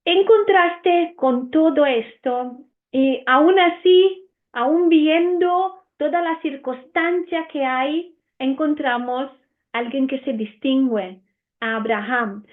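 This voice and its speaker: A woman's voice: high-pitched, takes it slowly, narrow, tinny audio. A woman from Romania with an Italian accent, whose first language is Romanian, teaching the Bible earnestly with frequent "eh" fillers.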